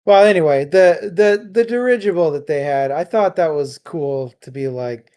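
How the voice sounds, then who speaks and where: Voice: medium pitch, moderate pace, mellow. A podcast co-host chatting informally and casually about books. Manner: informal, laughs often. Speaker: book discussion co-host. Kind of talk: casual chat, book discussion.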